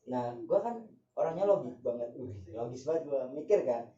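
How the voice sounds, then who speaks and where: A man's voice: medium pitch, animated, normal speed, mellow. A young man from Java chatting casually, joking in slang and laughing often. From Java, Indonesia. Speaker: guest. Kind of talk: casual chat with some singing. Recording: echoey, distant, dull room sound.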